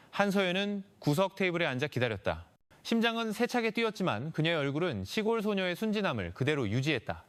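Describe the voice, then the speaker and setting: A man narrating a scripted crime story in a serious, suspenseful, dramatic storytelling manner. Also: a little high.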